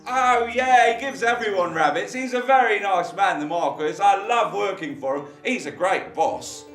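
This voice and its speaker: Man doing a high, eager talking cat. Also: fairly bright tone. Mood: cheerful. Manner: eager, fast-talking, stammering. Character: clever excitable male cat servant. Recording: roomy, distant, faint guitar.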